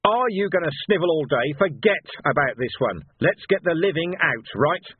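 Man playing a gruff veteran sergeant. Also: medium pitch, expressive, measured pace, dry recording, voice pushed up. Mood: commanding. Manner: barking orders, blunt. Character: gruff veteran male sergeant, commanding, weary. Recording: dry, tinny phone-like sound.

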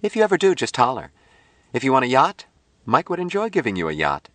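A man voicing a bright, affectionate, playful young woman in an audiobook story, with a gushing, emphatic manner full of endearments. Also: medium pitch, wildly swooping pitch, fast talker, dry recording, voice pushed up. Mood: amused, generous.

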